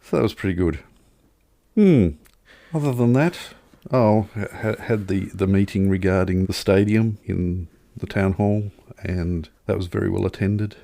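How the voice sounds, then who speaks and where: A man's voice: deep voice, unhurried, creaky, warm tone, no room sound. A man chatting casually as a podcast co-host, telling anecdotes in wry, wordy turns of phrase.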